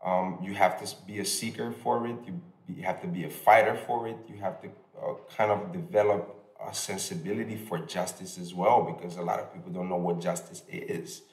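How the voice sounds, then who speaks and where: A middle-aged man giving an informal, emphatic address with some street slang, played back over loudspeakers in a room. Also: deep voice. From Balkans. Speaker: hip hop artist. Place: video played through speakers in a lecture room. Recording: echoey, distant loudspeaker playback of video.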